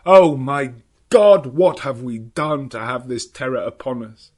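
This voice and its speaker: A man's voice: mid-range voice, expressive, measured pace. A man voicing a distraught, hot-tempered young husband in an impassioned, declamatory way, in a gothic audiobook. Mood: despairing.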